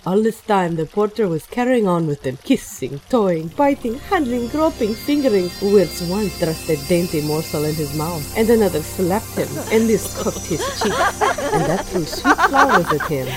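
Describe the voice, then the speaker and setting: A woman's voice: fairly low pitch, poor audio. A woman reading scripted literary narration with archaic storybook phrasing, as the narrator of an audio drama. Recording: music playing under the voice.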